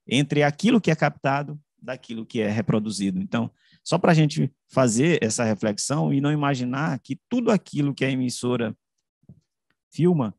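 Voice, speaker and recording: A man with a Brazilian accent giving an explanatory lecture over a video call, haltingly rephrasing as he goes. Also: mid-range voice, darkish tone, dry recording, clean studio sound. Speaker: academic presenter. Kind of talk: explanatory online lecture presentation with slides. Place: indoor room, online video call. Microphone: video call microphone.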